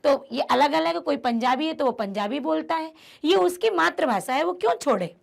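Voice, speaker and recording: A woman's voice: high voice, lively intonation, moderate pace, creaky. A woman teacher explaining a textbook chapter to her students, emphatic and repeating key phrases as she speaks to them directly. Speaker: Hindi teacher.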